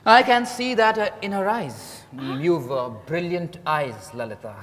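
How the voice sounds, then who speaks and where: Male actor as Indian writer, stage drama reading, composed and blunt, animated pitch, reverberant ballroom. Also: unhurried. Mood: flirtatious, courteous. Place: large hotel ballroom stage. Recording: echoey hall, stage mic from afar.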